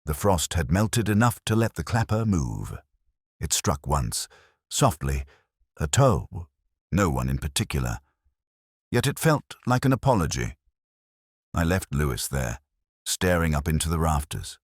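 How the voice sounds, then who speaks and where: An extremely deep male voice in a dry, close recording, a man acting a brooding, philosophical inspector. He narrates his memories in solemn, measured literary phrases for a detective audio drama, with expressive rises and falls. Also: breathy edge, dry recording, heavily acted. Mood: melancholy, reflective.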